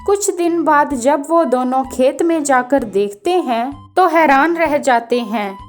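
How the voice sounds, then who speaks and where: A woman's voice: high voice, slow, soft background music. A woman narrating a scripted children's story.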